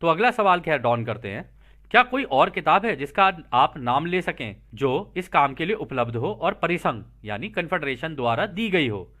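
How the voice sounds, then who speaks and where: Man, explainer host, high voice, bright and sharp tone, casual read-and-explain delivery, frequent check-ins with listeners. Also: sing-song, normal speed. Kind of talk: reading a translated text with casual spoken commentary.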